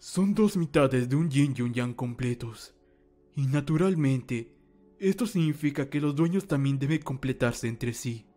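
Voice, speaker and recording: A man playing a calm, wise old mentor in a fan dub, speaking formal Latin American Spanish in a measured, reassuring way. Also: mid-range voice, soft background music, natural voice. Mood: calm, grave.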